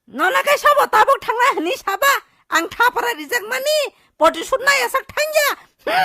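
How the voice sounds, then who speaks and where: A woman voicing an excitable, squeaky cartoon character with an animated, exclamatory delivery in a dub. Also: vocal fry, heavily acted. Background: faint music.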